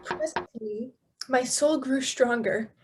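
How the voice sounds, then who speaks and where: A woman's voice: high voice, slow, husky, exaggerated voice. A woman playing a grieving, guilt-haunted solitary scholar-poet in a radio play, with a hesitant, theatrical delivery. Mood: brooding. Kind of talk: stage radio-play acting, verse recitation.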